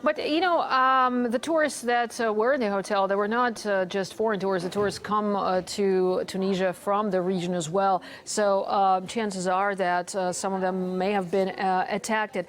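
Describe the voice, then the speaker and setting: A woman's voice: medium pitch, fairly even intonation, slight background noise. Woman news anchor, hesitant delivery. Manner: halting, many uh fillers. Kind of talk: live TV news interview.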